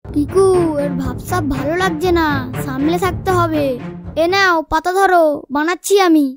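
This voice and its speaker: A tiny, very shrill cartoon kid works as a scrappy street seller. The voice is sassy and quick to snap, sliding between sales patter and muttered asides, over a music bed. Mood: eager sales patter. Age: child. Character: cheeky, scrappy child street-food seller, short-tempered hustler. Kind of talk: Bengali comic cartoon dialogue.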